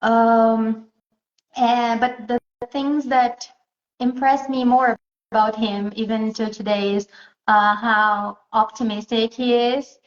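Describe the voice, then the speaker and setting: A woman from Southeast Brazil, answering interview questions in a hesitant way and pausing often to find her words. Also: a little high, fairly even intonation. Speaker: interview guest. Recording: echoey, muffled sound.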